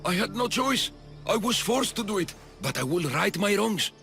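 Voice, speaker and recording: An eccentric, obsessive doctor from a video game, with a bright, forceful voice that swings widely in pitch. He is jittery and fussy, doubling back on his own words as he dotes. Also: sing-song, normal speed, creaky, soft background music, full character voice. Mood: defensive, regretful. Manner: jittery, fussy, repeats himself. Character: eccentric obsessive male doctor doting on his human pets. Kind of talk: video game character acting.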